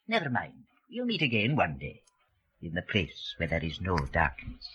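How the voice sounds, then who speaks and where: A suave, condescending Party official in an old radio play. His bright voice swoops through polite, guarded remarks, on a dull vintage recording. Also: low voice, wildly swooping pitch, heavily acted. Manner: suave, measured small talk with veiled meaning. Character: smooth, self-assured senior Party man, politely condescending. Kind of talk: 1950s radio drama acting.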